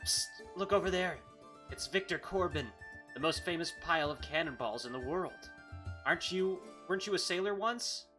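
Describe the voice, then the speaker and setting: A woman voicing a sneaky stagehand who speaks in a hushed, conspiratorial whisper. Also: lowish voice, normal speed, faint music behind.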